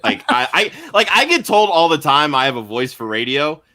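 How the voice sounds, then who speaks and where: A man's voice: fairly high pitch, big pitch swings, normal speed, a crowd in the background. A man co-hosting a casual podcast chat, speaking in a gushing, enthusiastic way. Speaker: co-host.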